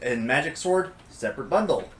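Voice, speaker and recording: A man's voice: medium pitch, expressive, normal speed. Sarcastic man, echoey room. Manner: dry, sarcastic quips. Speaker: co-host. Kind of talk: casual chat.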